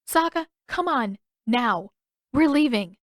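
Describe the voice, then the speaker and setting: A woman's voice: high voice, expressive, slow, no echo, clean studio sound, pitched above the performer's own voice. Woman acting an urgent teenage girl, voice raised. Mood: brisk, impatient. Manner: urgent, commanding.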